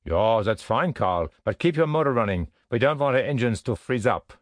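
A man voicing a weary, gruff German army patrol sergeant in an audiobook, brisk and resigned in manner. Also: medium pitch, lively intonation, dry recording, natural voice.

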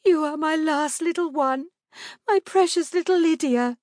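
A woman's voice: high voice, expressive, normal speed, darkish tone, no echo, studio quality, voice pushed up. A woman voicing an anxious, plaintive mother of five daughters in an audiobook. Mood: tearful, doting. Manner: plaintive, fretful.

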